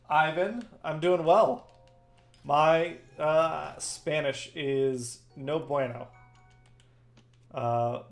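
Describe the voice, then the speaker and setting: A man whose first language is Farsi, hosting a game stream and chatting casually with viewers by name. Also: on the high side. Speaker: streamer and host. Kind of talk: casual chat while gaming.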